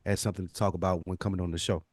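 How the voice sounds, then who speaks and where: Quick-talking low-voiced male podcast host. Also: flat delivery, darkish tone, no room sound, clean studio sound. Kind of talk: casual conversational hosting.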